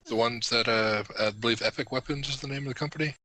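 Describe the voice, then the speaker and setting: A man's voice: mid-range voice, fast talker, pushed and bright, a little hiss or hum, low-quality recording. A man talking casually on a podcast panel. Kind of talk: casual discussion.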